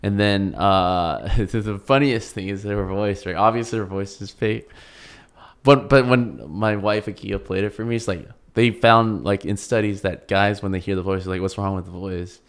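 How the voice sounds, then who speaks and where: A man with a fairly low voice co-hosting a casual podcast chat. He rambles through his points in loose, run-on sentences, giving his opinions freely. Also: fairly even intonation, moderate pace, smooth. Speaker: podcast co-host.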